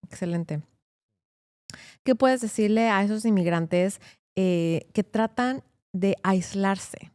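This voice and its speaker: A woman lawyer hosts a casual interview and keeps the talk moving. She sounds chatty and encouraging, with a mellow tone. The voice is recorded close and dry. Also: medium pitch, takes it slowly, pauses often, clean tone, dry recording. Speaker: host, immigration lawyer.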